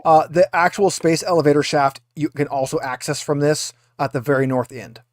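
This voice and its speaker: A man with a slightly high voice narrates a tabletop game as its game master. He improvises casually, stopping and restarting as he lays things out. The sound is dry and close. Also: normal speed, dry recording.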